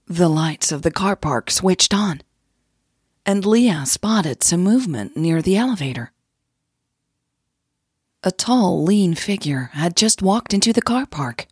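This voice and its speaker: A woman narrating a scripted romantic audio drama in a soft, measured storytelling manner. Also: lowish voice, animated, slightly rough, dry recording, studio quality. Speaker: narrator and podcast host.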